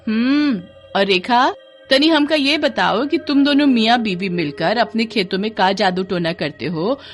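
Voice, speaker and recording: A jealous village wife, acted by a woman, in a Hindi cartoon tale. Her voice sits high, and she scolds and taunts in a rustic eastern dialect, with music underneath. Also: lively intonation, measured pace. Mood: taunting. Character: spiteful, jealous village wife, hot-tempered schemer.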